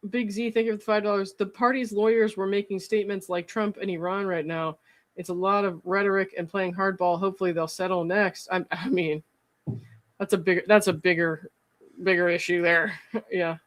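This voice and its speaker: A woman's voice: mid-range voice, fairly even intonation, brisk, no echo. A woman hosting a casual livestream, reading out viewer messages and thanking donors. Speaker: livestream host.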